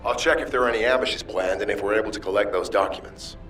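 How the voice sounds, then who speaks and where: Earnest sci-fi game adjutant played by a man: low, respectful, measured military delivery, slightly off-mic. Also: a bit bright, far from the mic, faint music behind, close to an everyday voice. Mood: formal, dutiful. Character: loyal, earnest male military adjutant, deferential and dutiful. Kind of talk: scripted game dialogue.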